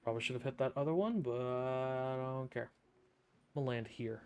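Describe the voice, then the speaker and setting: Deadpan man streaming a game. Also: medium pitch, a little fast, slight background noise. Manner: deadpan, sarcastic. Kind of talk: casual live gameplay commentary. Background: game music.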